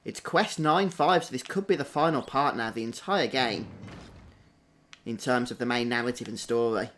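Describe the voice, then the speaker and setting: A man's voice: medium pitch, moderate pace, fairly bright tone, faint music behind. A man giving casual, chatty gameplay commentary, thinking aloud as a streamer doing a walkthrough.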